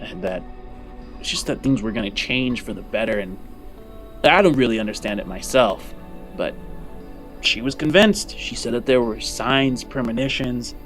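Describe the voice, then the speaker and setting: A chatty, skeptical fellow from a sci-fi fantasy game, played by a man, rambles along haltingly in a mid-pitched voice. Music plays softly underneath. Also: lightly acted. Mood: wistful, worried. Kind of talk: improvised in-character tabletop roleplay. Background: background music.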